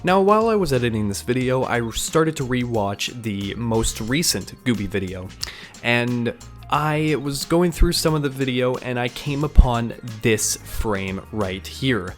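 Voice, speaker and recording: A man narrates a scripted video breakdown as the host, in a medium-pitched voice. His delivery is that of an online narrator who mixes prepared commentary with casual asides. Music plays underneath his voice. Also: lively intonation, unhurried.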